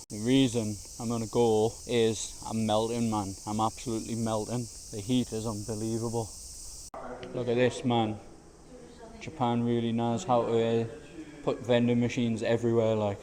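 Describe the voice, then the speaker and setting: A man vlogging as he walks through gardens in the heat, his voice slightly hoarse, talking in a chatty, slangy, easygoing way. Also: medium pitch, darkish tone, a little hiss or hum. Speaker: travel vlogger. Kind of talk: casual walking vlog narration. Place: outdoors in large city gardens, walking in heat.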